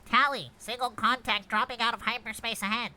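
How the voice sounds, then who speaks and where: A woman's voice: a little high, steady tone, fairly quick, pushed and bright. A woman reading the part of a procedural starship crew member calling out alerts in a sci-fi script. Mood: urgent, businesslike. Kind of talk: script table read.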